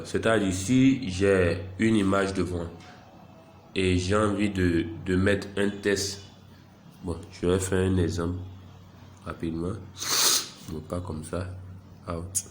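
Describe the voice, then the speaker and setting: Deep slow man with a head cold. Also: little pitch movement, a little hiss or hum. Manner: unhurried, self-deprecating, narrates each click. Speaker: software tutorial presenter. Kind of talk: screen-recorded app tutorial.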